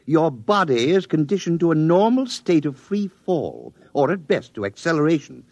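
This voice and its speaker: A man playing a stern, condescending military psychologist in a radio drama, speaking in a measured, formal way. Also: on the high side, big pitch swings, dry recording, lo-fi sound. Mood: cool, cautioning. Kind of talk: 1950s radio drama acting.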